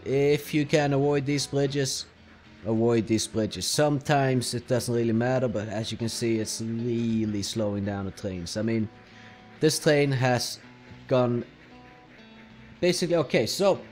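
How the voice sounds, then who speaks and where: A man's voice: mid-range voice, measured pace, soft background music. A young man from Norway with a Norwegian accent, speaking English as a second language while casually commenting on his gameplay step by step. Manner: relaxed, explaining step by step with fillers. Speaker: let's play host.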